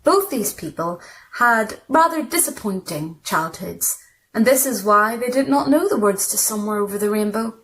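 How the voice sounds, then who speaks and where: A woman's voice: mid-range voice, lively intonation, moderate pace. Woman reading short literary stories, noisy recording. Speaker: story reader. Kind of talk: literary short story narration, read aloud.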